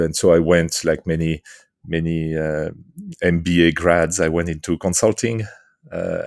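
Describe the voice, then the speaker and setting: A middle-aged man from France with a French accent, answering interview questions thoughtfully with many pauses and restarts. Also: sits low, vocal fry, a little mellow, no echo, clean studio sound. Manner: hesitant, many fillers and restarts. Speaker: guest, author and leadership coach.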